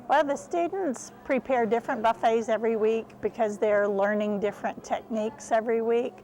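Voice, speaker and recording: A woman instructor explaining her program in an interview, measured and clear. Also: on the high side, warm tone, slight background noise, rough recording. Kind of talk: interview answer.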